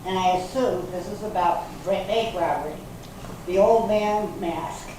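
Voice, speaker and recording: Woman acting an unruffled suspect, slow and mellow. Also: medium pitch, lightly acted. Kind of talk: amateur readers theater reading. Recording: very echoey hall, distant mic, faint noise.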